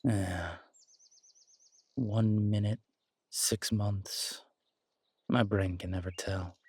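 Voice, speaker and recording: A man playing an affectionate, easygoing werewolf boyfriend who tinkers with bikes, speaking casually and haltingly in an intimate roleplay audio drama. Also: on the low side, steady tone, darkish tone, slightly rough, no echo, heavily acted.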